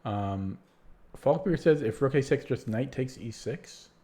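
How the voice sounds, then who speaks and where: A man's voice: medium pitch, expressive, measured pace, mellow. A man live-streaming chess puzzles, talking casually to his chat, tired and rambling with many restarts, and starting to lose his voice. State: losing his voice.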